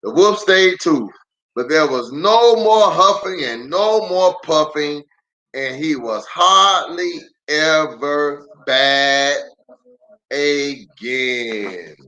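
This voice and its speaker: A man who is a pastor reading a children's story aloud in an animated, theatrical way with drawn-out emphasis. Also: on the high side, poor audio.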